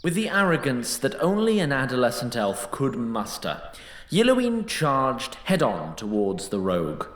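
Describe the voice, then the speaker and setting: Fantasy narrator, male: deliberate and dramatic, highly varied melody, noticeably echoing space. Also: very animated. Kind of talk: dramatic scripted storytelling narration.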